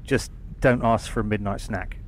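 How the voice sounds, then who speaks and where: A man playing a brisk, friendly starship engineer in a science fiction audio drama. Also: on the low side, steady tone, natural voice. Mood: brisk, matter-of-fact. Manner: informal, matter-of-fact. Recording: background noise, room ambience.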